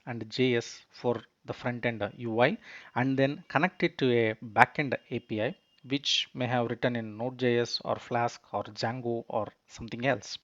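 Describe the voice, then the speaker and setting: Dull-sounding man presenting a software tutorial. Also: medium pitch, dry recording. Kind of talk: screencast software tutorial narration. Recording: dull, muffled close voice-over.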